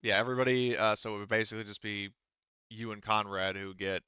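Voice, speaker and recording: A man working as game master, talking casually through tabletop rules in a halting way, thinking aloud. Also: lowish voice, fairly even intonation, bright, forceful tone, smooth, dry recording, dull audio.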